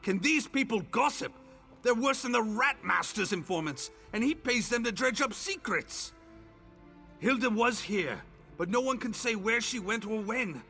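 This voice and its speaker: An actor playing a blunt, earnest, protective man in a fantasy game, forceful in manner. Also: extremely high pitch, expressive, moderate pace, creaky, faint music behind, full character voice. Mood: exasperated. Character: earnest, protective, blunt male werewolf ally. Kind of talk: game dialogue acting.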